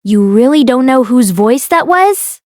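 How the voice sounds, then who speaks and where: A woman voicing a stern male elder in an audiobook, speaking flatly and coldly in few, clearly enunciated words. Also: sits high, a little slow. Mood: cold, resolute. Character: stern, taciturn male elder, cold and unhesitating.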